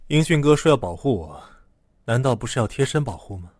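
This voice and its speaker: An actor playing a troubled, clingy young male student in an animated drama, quietly obsessive, with a soft-spoken, coaxing manner. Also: mid-range voice, a little fast, no echo, studio quality, natural voice.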